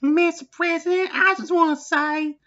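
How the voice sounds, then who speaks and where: A man voicing a female secretary in falsetto, delivering lines urgently in an improvised comedy parody dub. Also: steady tone, normal speed, bright, forceful tone, muffled recording. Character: squeaky female secretary delivering news to her boss.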